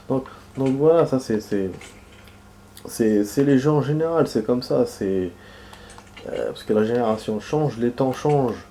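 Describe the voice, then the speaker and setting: Brief: male gamer with a head cold, nasal and mellow, low voice, loose rambling commentary over faint room hiss. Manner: rambling, many fillers and restarts. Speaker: gaming video host. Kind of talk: casual gameplay commentary.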